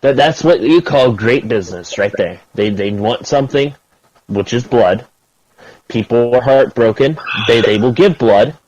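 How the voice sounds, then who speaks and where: Male, medium pitch, sceptical casual chat with repeated phrases, muffled dry call audio. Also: unhurried, darkish tone, no room sound.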